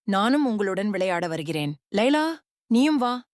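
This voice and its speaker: A woman's voice: medium pitch, sing-song, a little slow, no room sound, studio quality. A woman voicing a playful, friendly orange male cat in a cartoon, speaking eagerly.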